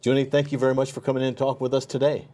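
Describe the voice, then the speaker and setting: A man's voice: medium pitch, little pitch movement, rapid-fire, dark tone. A man hosting a local television interview, speaking off the cuff as he questions and promotes his guest. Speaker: TV interview host.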